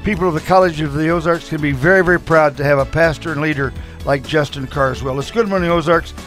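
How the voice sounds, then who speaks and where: A man's voice: fairly high pitch, quick pace, a bit bright, faint music behind. A man hosting a radio morning show, joking and chatting with his guests. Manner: jokey, teasing, talkative. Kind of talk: casual radio chat. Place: radio studio.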